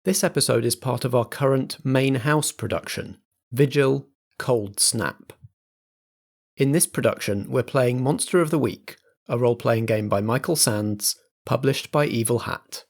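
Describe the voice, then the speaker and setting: A man's voice: medium pitch, measured pace, creaky. A man presenting a roleplaying show as its host, reading a scripted introduction. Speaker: podcast host and game master.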